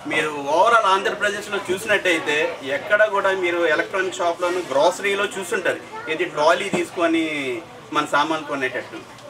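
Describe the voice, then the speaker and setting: A man's voice: a little high, normal speed, a crowd in the background. A man giving an informal speech at a celebration, mixing English phrases into his talk. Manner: mixes in English words and phrases. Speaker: event speaker. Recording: noisy event recording, distant microphone.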